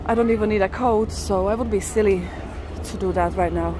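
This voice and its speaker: A woman's voice: mid-range voice, fairly even intonation, fairly quick. Chatty woman on a noisy street. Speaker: walking tour vlogger. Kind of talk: casual live narration while walking. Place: busy city street outdoors. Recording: outdoor street noise with traffic. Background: urban ambience, passing vehicles.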